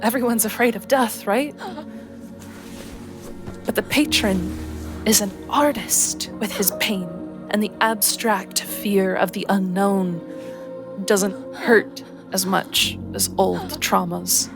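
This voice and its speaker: Slow-speaking woman telling fantasy drama. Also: mid-range voice. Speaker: tabletop game player. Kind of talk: dramatic third-person roleplay narration. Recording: music behind the voice.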